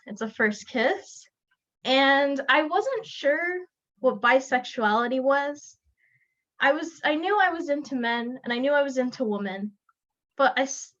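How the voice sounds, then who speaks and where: A young woman from South Florida tells her own story as a student speaker. Her voice sits a little high, and she speaks earnestly, pausing and restarting now and then. The sound is dull and lo-fi, as from a video call. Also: fairly bright tone. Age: young adult. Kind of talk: personal narrative speech. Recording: lo-fi online call audio.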